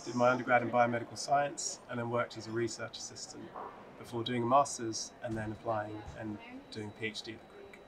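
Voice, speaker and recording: A young man from the UK, a recent PhD graduate, giving advice in a steady, even way. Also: medium pitch, brisk, noisy background. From United Kingdom. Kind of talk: answering interview questions to camera. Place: large open atrium, indoors. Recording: crowd chatter behind the voice.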